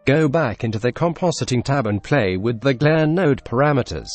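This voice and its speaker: Russian-accented young man, deadpan tutorial narrator with dry jokes, mid-range voice, faint music behind. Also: mid-range voice, moderate pace. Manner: deadpan, dry absurd humor. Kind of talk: scripted screen-recorded tutorial voice-over.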